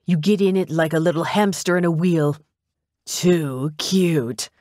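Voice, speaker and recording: Audiobook role: woman voices flamboyant, teasing man, slow lilting drawl, theatrical, close dry recording. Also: fairly high pitch, darkish tone, no echo, lightly acted. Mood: amused, teasing.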